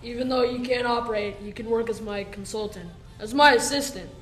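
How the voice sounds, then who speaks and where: A woman's voice: on the high side, a little fast, fairly bright tone, natural voice. A teenage girl acting small supporting parts in a school radio play. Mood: matter-of-fact. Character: minor radio-play roles: medics, doctor, sailor. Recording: roomy echo, music underneath.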